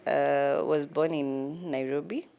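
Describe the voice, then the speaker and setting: Kenyan woman, 30s, interview guest, low and unhurried, pausing with ums. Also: fairly even intonation, creaky, dark tone, clear, resonant tone. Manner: hesitant, many ums, laughs at herself. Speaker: guest, lawyer. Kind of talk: casual interview answers.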